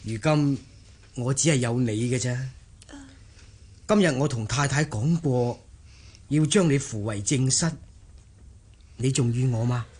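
Husky, remorseful gentleman. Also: mid-range voice, unhurried, pauses often. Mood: earnest, pleading. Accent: Cantonese. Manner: earnest, placating. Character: remorseful, earnest gentleman master of a wealthy household. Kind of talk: Cantonese radio drama acting.

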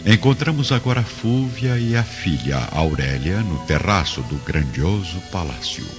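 A solemn storyteller narrates a radio drama in a very low, dramatic voice. The delivery rises and falls expressively. It rings in long reverb over a prominent music bed. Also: male voice, animated, measured pace, highs cut off. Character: solemn omniscient storyteller guiding listeners between scenes.

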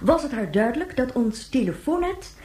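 A formal female president of a moon colony in a radio drama. Her voice is fairly high and very varied in pitch, with official, explanatory phrasing. The sound is noticeably roomy. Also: big pitch swings, moderate pace, dark tone. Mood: composed, official. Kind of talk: radio play acting as a news interview.

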